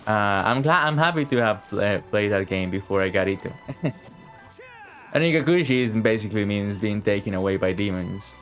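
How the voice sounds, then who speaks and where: A man's voice: lowish voice, animated, moderate pace, fairly bright tone. A man giving casual live game commentary as a streamer, informal and rambling, with playful asides. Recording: game music behind the voice.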